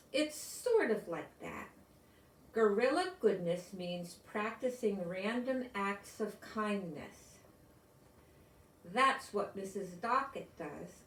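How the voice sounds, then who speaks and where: Slow-paced older American woman reading a storybook. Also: mid-range voice, a bit bright. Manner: deliberate, explanatory, talking to young children. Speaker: storybook reader. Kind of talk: read-aloud children's story narration. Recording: roomy echo, distant from microphone.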